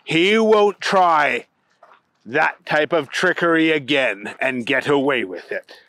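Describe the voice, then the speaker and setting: A man's voice: on the high side, expressive, slow pace, a bit bright, dry recording, exaggerated voice. A man voicing a pompous, easily disgusted alien space dog general in a children's story, talking in self-important, indignant declarations. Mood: scornful.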